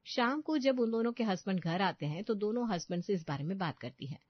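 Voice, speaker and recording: Woman narrating a story, medium pitch, scripted read, dry kinda dull audio. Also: lively intonation, a little fast, breathy edge, no room sound.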